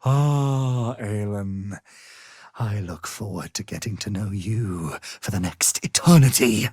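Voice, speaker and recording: An actor playing an arrogant, power-hungry wizard in a video game, speaking in a pompous, theatrical and condescending manner. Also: male voice, lowish voice, slow, darkish tone, husky, no room sound, studio quality. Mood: gloating.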